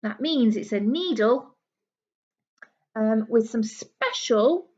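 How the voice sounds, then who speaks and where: High-voiced woman reading to young children. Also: lively intonation, slow, darkish tone. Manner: explanatory, simple words, questions to children. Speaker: primary school teacher. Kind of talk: read-aloud storybook lesson for young children. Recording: dull lo-fi small camera recording.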